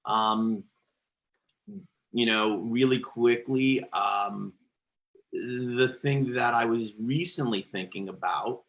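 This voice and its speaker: A man's voice: mid-range voice, a bit bright. A man who stutters, a podcast guest telling his own story in a reflective, conversational way, with repeated words and restarts. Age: adult.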